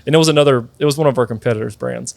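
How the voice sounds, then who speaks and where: A man's voice: medium pitch, rapid-fire, gravelly, dry recording. Chatty man of thirty, dry studio sound. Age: 30s. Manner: chatty, many fillers like "like" and "dude". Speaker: guest, sweet tea company founder. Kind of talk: casual interview storytelling.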